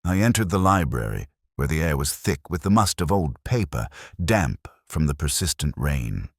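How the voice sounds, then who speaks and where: A man narrating a literary detective story in the first person, in a measured, formal and reflective manner. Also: extremely low pitch, sing-song, no echo, studio quality.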